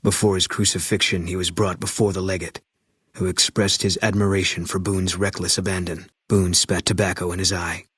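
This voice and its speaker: A man's voice: sits low, level tone, brisk, fairly bright tone, breathy edge, no echo. A man reading solemn scripted ending narration for a video game. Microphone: dry studio mic.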